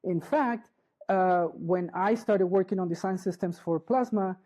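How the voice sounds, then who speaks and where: Halting middle-aged woman presenter. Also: lowish voice, warm tone. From Chile. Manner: halting, restarts often, keeps checking in with the audience. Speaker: conference speaker. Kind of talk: live conference talk. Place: conference hall.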